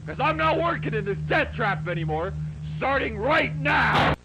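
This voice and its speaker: An actor playing a rattled male worker who runs a face-scanning check at a diner and is quick to shout. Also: mid-range voice, sing-song. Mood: panicked angry. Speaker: game character. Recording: game audio over noisy background.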